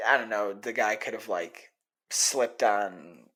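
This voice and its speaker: A man's voice: on the low side, slow pace, fairly bright tone, low-quality recording. A man playing a skeptical, teasing young college radio co-host in casual audio drama banter. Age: young adult.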